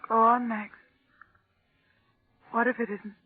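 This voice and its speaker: An actress playing an anxious, exhausted scientist's wife in a 1940s radio drama. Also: female voice, on the high side, level tone, takes it slowly, slightly rough. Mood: pleading, anxious. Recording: tinny 1940s radio recording, music behind.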